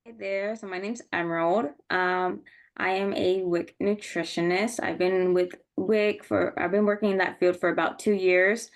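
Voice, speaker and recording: Relaxed woman panelist on a video call, low and gravelly voice. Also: normal speed. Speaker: nutritionist panelist.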